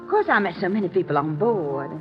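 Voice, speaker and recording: An actress playing a coy, testy young Southern belle in old radio drama, with a folksy American Southern drawl. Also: female voice, fairly low pitch, lively intonation, quick pace, over music, natural voice. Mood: indignant. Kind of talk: late-1940s radio drama acting. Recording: dull, noisy old radio recording.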